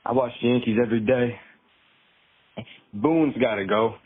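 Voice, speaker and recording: A man's voice: medium pitch, normal speed, breathy edge, no echo. A man leaving a short, blunt sports fan complaint as a phone voicemail. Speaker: voicemail caller. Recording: noisy narrowband phone voicemail.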